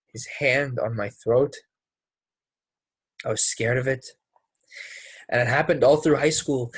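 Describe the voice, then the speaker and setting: Man acting a wounded, halting young man. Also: mid-range voice, fairly bright tone, slightly rough, dry recording. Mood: ashamed, pained. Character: wounded young man confessing abuse, anguished and defensive. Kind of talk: amateur play readthrough.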